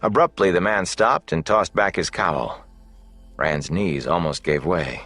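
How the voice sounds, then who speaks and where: Low-voiced male audiobook narrator, measured. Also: a bit bright. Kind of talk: scripted fantasy novel narration. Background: faint music.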